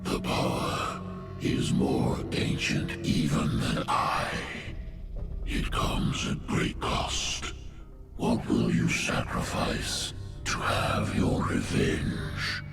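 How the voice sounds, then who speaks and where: An actor playing an ancient, colossal alien creature in a video game, a solemn and ominous sage with a gravelly growl. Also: male voice, a bass voice, full character voice. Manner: slow, grave, portentous pronouncements. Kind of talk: video game cutscene acting. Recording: music behind the voice. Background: loud game score.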